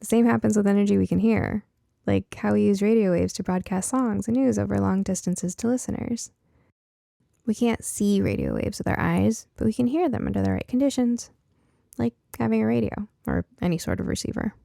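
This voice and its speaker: A woman voicing a curious, science-minded girl who narrates her own story in a conversational, expressive storybook style. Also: medium pitch, a little fast, creaky, no room sound, clean studio sound. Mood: explaining, eager. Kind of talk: first-person audiobook narration.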